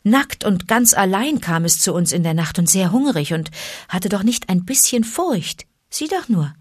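A woman's voice: medium pitch, sing-song, moderate pace, breathy edge, no echo, studio quality. A woman reading a storybook and voicing a fierce, protective mother wolf. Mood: tender. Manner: fierce, defiant.

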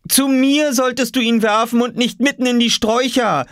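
A man's voice: very high-pitched, fairly even intonation, normal speed, a bit bright, no room sound, studio quality, pitched above the performer's own voice. A man voicing an exasperated mother in a children's storybook, calling out insistently.

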